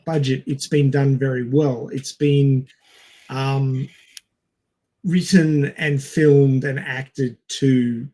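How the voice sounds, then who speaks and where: A man co-hosting a casual film discussion, speaking in a halting, hesitant way and stretching out words. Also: mid-range voice, mellow. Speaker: podcast co-host.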